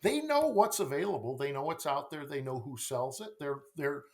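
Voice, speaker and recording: A man's voice: fairly high pitch, animated, normal speed, darkish tone, slight background noise. Middle aged guy on a podcast, really swingy voice, punches points, repeats himself, keeps asking right?. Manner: emphatic, repeats phrases, rhetorical questions and tag "right?". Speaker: sales coach, podcast guest. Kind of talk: conversational podcast interview.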